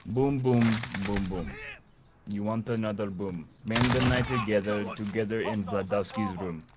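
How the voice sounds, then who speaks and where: A man's voice: lowish voice, moderate pace, lightly acted. A man putting on a boastful mock-Russian gamer. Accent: put-on Russian. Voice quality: broken English, third-person self-talk. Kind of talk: in-game voice chat. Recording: game noise and gunfire behind.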